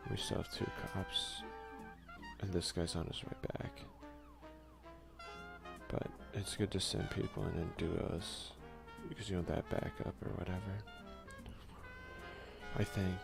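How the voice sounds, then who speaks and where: Low creaky guy doing a chill asmr lets play, slangy little mutters, game music playing behind him. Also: level tone, a little slow, pauses often. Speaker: gaming video host. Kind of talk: casual let's play commentary in ASMR style, partly reading game text aloud.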